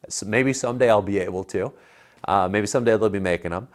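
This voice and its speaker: A man's voice: lowish voice, fast. An American man in his thirties co-hosting a tech show, explaining gear in a casual, conversational way. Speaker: tech show co-host reviewing home theater gear. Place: living room at home, indoors. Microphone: lapel mic.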